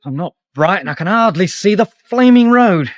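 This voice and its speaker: A man's voice: sits high, expressive, normal speed, no room sound, lo-fi sound. An actor playing a blunt, defensive, grumbling young male police constable with a British accent in a radio drama. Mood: irritable, defensive.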